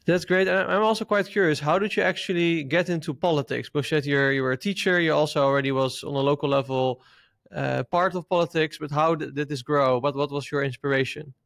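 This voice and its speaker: A man's voice: fairly high pitch, fast talker, gravelly, a bit bright, dry recording. Dutch man hosting an interview, dry sound. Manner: friendly, slightly hesitant questioning. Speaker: interviewer, host. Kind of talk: casual interview.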